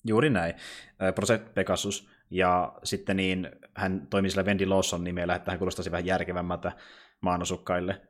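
A man co-hosting a podcast, talking casually about films and pausing to pick his words. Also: low voice, little pitch movement. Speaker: podcast co-host. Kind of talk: casual film discussion and plot recap.

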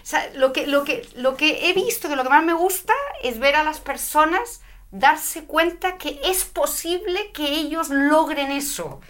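A middle-aged woman from Spain, telling her story as an interview guest with an animated, spiritual way of talking. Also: sits high, a little slow. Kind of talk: conversational interview.

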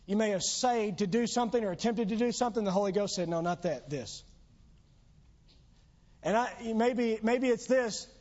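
A man's voice: high-pitched, moderate pace, a little mellow. A pastor preaching a sermon in church, losing his voice, with earnest, emphatic exhortations. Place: church sanctuary. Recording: dull lo-fi church recording.

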